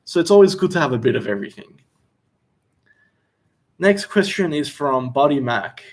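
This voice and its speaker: A young Australian man, a chef, hosting a casual livestream Q&A while seated, reading out viewer questions and answering in a relaxed, chatty way. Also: on the high side, normal speed. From Western Australia. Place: seated indoors.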